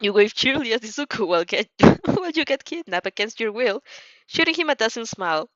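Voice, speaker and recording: A woman reads fan fiction aloud in a high voice with animated pitch. Her narration is giggly and informal, and she trips over words and corrects herself. The recording is close and dry. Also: a little fast, dry recording, highs cut off. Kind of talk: expressive second-person story narration.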